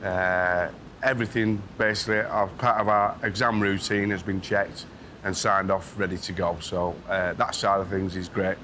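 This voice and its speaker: Low male voice, carriage examiner in a noisy workshop. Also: flat delivery, normal speed, pushed and bright. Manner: practical, plain-spoken, uses fillers. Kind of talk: casual interview.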